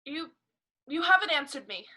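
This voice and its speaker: A woman's voice: expressive, moderate pace, pushed and bright, heavily acted. A woman voicing a desperate, jilted young maid with a tremulous, pleading manner, in 1930s-style radio drama acting. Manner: tremulous pleading, then shrill outbursts.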